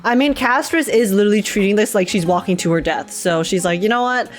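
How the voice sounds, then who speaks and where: A woman's voice: medium pitch, quick pace, soft background music. A woman streamer giving casual, joking, blunt and slangy live reaction commentary on a game.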